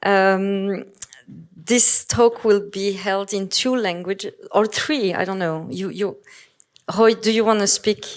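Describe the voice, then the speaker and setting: A woman's voice: medium pitch, fairly even intonation, slow. A woman from Morocco whose first language is French, moderating a conference panel in English on a handheld microphone, talking with many hesitations and self-corrections and laughing often. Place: conference hall.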